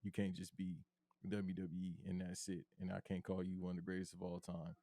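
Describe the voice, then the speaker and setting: Deep-voiced man in lively wrestling chat. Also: a little fast, no room sound. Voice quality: deep bass voice. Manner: animated, emphatic, slangy, calls his cohost bro. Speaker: podcast co-host.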